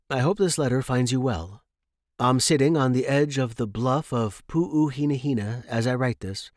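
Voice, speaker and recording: A man's voice: mid-range voice, darkish tone, no echo, studio quality, natural voice. A man voicing a stubborn young Victorian scholar reading out his letter in a formal, articulate, firm manner.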